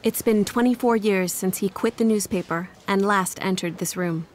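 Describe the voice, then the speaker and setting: A woman reading scripted documentary narration in an even, informative way. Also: mid-range voice, normal speed, a little hiss or hum.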